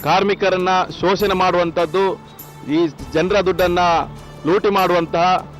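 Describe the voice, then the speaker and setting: A man's voice: sits high, level tone, unhurried, over loud music, over a noisy crowd. A man making a formal, oratorical statement of opinion. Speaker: local resident giving opinion. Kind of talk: political statement to camera.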